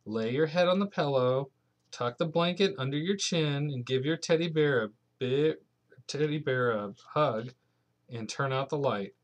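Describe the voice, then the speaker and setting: A man acts out an elderly storybook granny who dotes on a child and bosses him about. The character is excitable and easily shocked, prone to loud exclamations. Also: mid-range voice, a little slow, muffled recording, natural voice. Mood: doting, instructing. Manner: bossy, doting, exclaiming loudly. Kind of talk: bedtime picture-book character voice.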